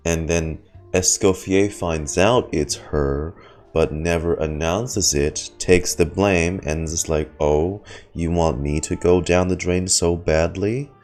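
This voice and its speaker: A streamer giving casual, rambling, slangy reaction commentary on a game, thinking aloud and speculating. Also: sits low.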